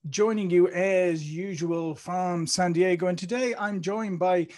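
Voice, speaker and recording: A middle-aged man with an Irish accent hosts a video-call interview, slightly higher in pitch than most men. He talks in a relaxed, chatty way and often pauses on "um" and "uh" before restarting. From Ireland. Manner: chatty, with many fillers and restarts. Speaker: interview host. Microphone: studio mic on a video call.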